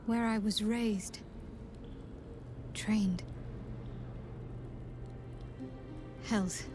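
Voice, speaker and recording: A woman playing a proud, hardened young priestess of a dark goddess in a fantasy game, solemn, deliberate and haughty. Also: medium pitch, natural voice. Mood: guarded resolve. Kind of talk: dramatic fantasy game acting.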